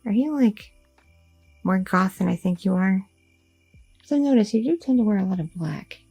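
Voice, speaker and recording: A curious, chatty young girlfriend, voiced by a woman in an ASMR roleplay, her voice very gravelly, mellow and softly husky. She rambles with many fillers and asks question after question in a nervous way. The close-mic sound is dry, with faint music behind. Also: medium pitch, expressive, normal speed, no room sound. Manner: rambling, inquisitive, filler-heavy talk.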